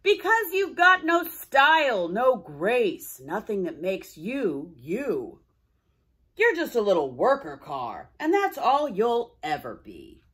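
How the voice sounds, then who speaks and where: Storybook role: sneering old male streetcar, loud and dismissive, swooping pitch, played by a woman, slightly husky. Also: huge pitch swings, slow, heavily acted. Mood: scornful. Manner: mocking, blunt. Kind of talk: children's storybook character voice.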